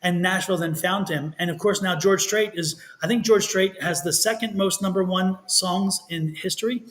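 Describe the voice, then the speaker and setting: A man's voice: sits high, normal speed, fairly bright tone. A man with a Texan accent giving live tarot readings in a folksy, rambling way, often stopping to correct himself. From Texas. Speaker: tarot reader and show host. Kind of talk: live-stream tarot reading, casual chat.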